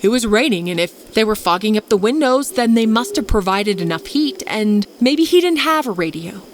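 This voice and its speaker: Dark story role: female narrator as creepy male storyteller on a date, deliberate, easily irked, over clear noise. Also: mid-range voice, lively intonation, lightly acted. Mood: annoyed. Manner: deliberate, matter-of-fact. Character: Simon, odd, sinister man on a date, storyteller, easily annoyed.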